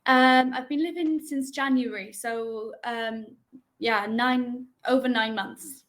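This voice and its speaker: A woman's voice: a little high, fairly even intonation, slow, a bit bright. Chatty young woman tutor on a call. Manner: chatty, hesitant with many ums. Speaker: online English tutor. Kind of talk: casual video call chat. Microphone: video call.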